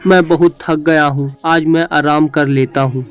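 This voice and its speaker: Meek village fisherman husband played by a man, clear-voiced. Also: on the high side, fairly even intonation, normal speed, soft background music, lightly acted. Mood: weary. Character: poor, hardworking, henpecked village fisherman husband.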